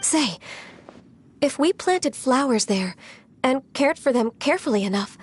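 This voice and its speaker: An actress playing a gentle, earnest young woman in a video game, polite and soft-mannered. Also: sits high, lively intonation, unhurried, a little hiss or hum.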